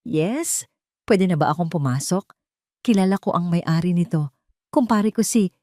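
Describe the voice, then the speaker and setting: A woman voicing a proud, desperate jobless man who once ran a hotel, speaking in loud, indignant outbursts. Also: lowish voice, animated, unhurried, no echo. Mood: pleading.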